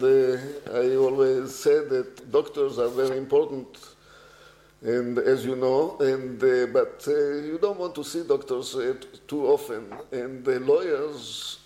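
Older Israeli-born man, halting, roomy. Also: medium pitch, warm tone. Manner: hesitant, many fillers and restarts. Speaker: guest, agriculture technology executive. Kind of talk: unscripted interview answers.

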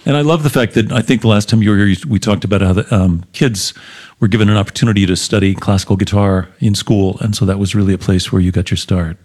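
A man with a fairly low voice hosts a music interview. He speaks conversationally as he frames questions for his guest. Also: fast talker, hardly any pauses, darkish tone. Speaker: interviewer, host.

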